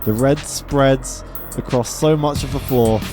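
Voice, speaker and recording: Male game streamer, mid-pitched, theatrical story reading with casual asides, music playing behind. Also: measured pace, darkish tone. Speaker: game streamer reading a visual novel aloud. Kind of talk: live narration with casual commentary. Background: video game music.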